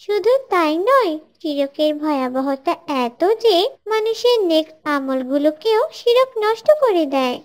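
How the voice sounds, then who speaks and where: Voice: extremely high pitch, expressive, a little slow. A performer voicing a pious little schoolgirl in a cartoon, earnestly teaching others in a careful, preachy way. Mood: solemn, instructive. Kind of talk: scripted moral dialogue for an Islamic cartoon.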